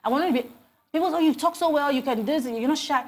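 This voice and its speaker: A Nigerian woman in her forties talks as a guest on a TV morning show. She speaks quickly and tells her stories with animated energy. She often restarts her sentences as the thoughts come. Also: high voice. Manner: animated storytelling, many restarts. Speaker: guest, HR consultant. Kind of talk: TV interview conversation.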